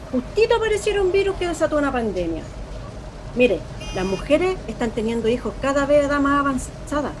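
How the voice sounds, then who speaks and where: A woman's voice: high-pitched, natural voice. A woman playing a conspiracy-minded street interviewee. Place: street, outdoors. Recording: loud street noise with traffic.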